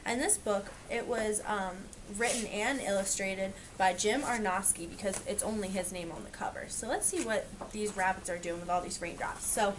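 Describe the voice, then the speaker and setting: A woman reading a picture book aloud to young children, pausing to explain and point things out. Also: medium pitch, background noise. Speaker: reader teaching young children.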